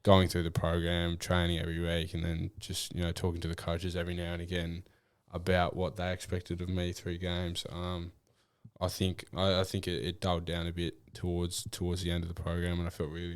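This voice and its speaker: A young Australian man answering interview questions about his football in a relaxed, rambling way, with many fillers and restarts. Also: deep voice, fairly quick, clean tone, dry recording. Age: young adult. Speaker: draft prospect guest. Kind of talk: casual interview.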